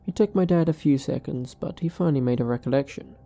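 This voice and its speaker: Very soft, dark-toned female story narrator. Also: very deep voice, fast. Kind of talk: scripted first-person narration.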